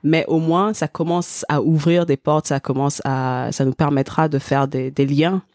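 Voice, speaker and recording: A woman's voice: low-pitched, fairly even intonation, normal speed, a little mellow, no echo. A woman co-hosting a conversational podcast, thoughtful and explanatory. She often stops to rephrase her point as she speaks. The sound is close and dry, with no room around it. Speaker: podcast co-host.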